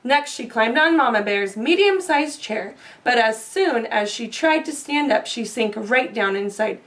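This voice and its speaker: A woman's voice: on the high side, expressive, measured pace, fairly bright tone, recorded from a distance. A woman reading a children's story aloud with little asides, recorded a bit away from the mic. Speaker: storybook reader.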